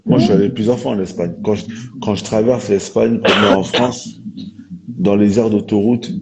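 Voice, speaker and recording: A man's voice: lowish voice, fairly even intonation, moderate pace, background noise. A man hosting a live discussion in casual, informal talk, often repeating himself. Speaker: live stream host. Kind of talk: casual chat.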